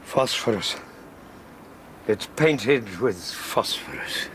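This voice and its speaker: An actor playing a steady, caring detective's companion in a radio drama, earnest and solicitous. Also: male voice, fairly low pitch, very slow, slight background noise, exaggerated voice. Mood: grim realisation. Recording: radio drama with loud ambient effects behind.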